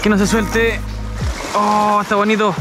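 A man with a Chilean accent chatting casually and excitedly as he fishes outdoors, using slang and repeating short phrases. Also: high voice, measured pace. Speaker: fishing vlogger. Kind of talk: casual vlog chat while fishing. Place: outdoors on a rocky seashore. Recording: loud music behind the outdoor voice. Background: loud music.

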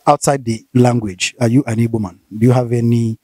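Mellow Nigerian male interviewer. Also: medium pitch, fairly even intonation, brisk. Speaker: interviewer, host. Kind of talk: conversational interview. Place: outdoors, seated by corrugated metal fence. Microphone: handheld interview mic.

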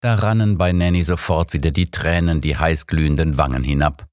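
A man narrating a scripted audiobook story in the first person, expressive and measured. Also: extremely low pitch, no echo, studio quality.

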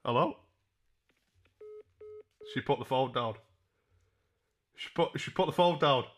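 A man's voice: mid-range voice, slow pace. A British man in his twenties chatting casually on a livestream, close to a desk microphone in a room. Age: 20s. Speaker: live streamer making prank calls. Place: small indoor room.

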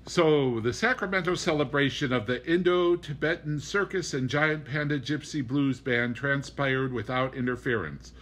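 A man's voice: medium pitch, normal speed, hardly any pauses, a bit bright. A man reading a comic literary novel aloud as scripted audiobook narration. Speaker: audiobook reader.

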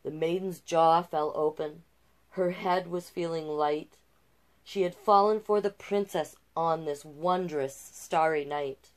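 A middle-aged woman reading a children's picture book aloud with expressive delivery and casual asides. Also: deep voice. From Canada. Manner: unhurried, expressive storybook delivery. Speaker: storytime host and drag king. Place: small cluttered room indoors.

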